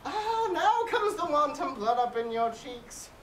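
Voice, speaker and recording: Woman playing a high, swooping fussy old nurse. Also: big pitch swings, a little slow. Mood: teasing. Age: older. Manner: rambling, exclaiming, theatrical groaning and chuckling. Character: bawdy, fussy old nurse, weary and teasing, fond of her charge. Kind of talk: Shakespearean stage acting. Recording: echoey room, distant mic, faint noise.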